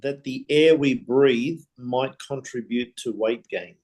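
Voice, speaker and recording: A participant in a casual group discussion, speaking in a hesitant, halting way. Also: mid-range voice, darkish tone, low-quality recording. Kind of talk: casual chat.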